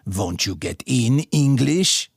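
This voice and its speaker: A man voicing a stern, menacing Russian colonel in an audiobook, speaking in a measured, formal, threatening way. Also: medium pitch, animated, slightly rough, no room sound, clean studio sound, exaggerated voice. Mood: cold menace.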